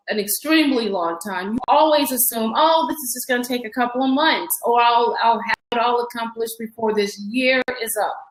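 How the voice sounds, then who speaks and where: Chatty fifties woman, echoey call audio. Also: on the high side. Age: 50s. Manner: chatty and rambling, with frequent restarts and laughs. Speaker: author guest. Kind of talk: casual interview conversation. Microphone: online video call.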